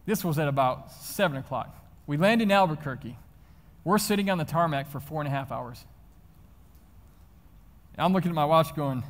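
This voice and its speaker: An American man giving a motivational talk to an audience, telling personal stories in an animated, conversational way. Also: on the high side. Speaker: motivational speaker. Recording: reverberant hall, live stage sound.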